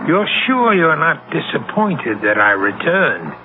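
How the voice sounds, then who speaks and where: A noisy, narrow-band old radio drama carries a jealous sea captain. His delivery swings widely, on a slightly high male voice. He is commanding, brooding and full of suspicion. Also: very animated, unhurried. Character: jealous, menacing sea captain and possessive husband. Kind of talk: 1970s radio drama acting.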